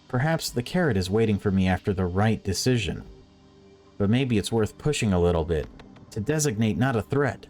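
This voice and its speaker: Man reading as brooding young male analyst, lowish voice. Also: close to an everyday voice. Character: timid but resentful young male data analyst. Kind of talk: first-person visual novel read-aloud.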